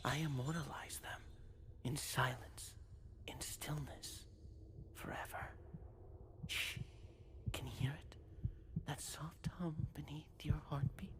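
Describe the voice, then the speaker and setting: An actor voicing an eerie, serene collector of souls in a breathy, menacing whisper for a demo reel. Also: mid-range voice, fairly even intonation, lightly acted. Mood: eerie calm menace. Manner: slow, menacing, hushed.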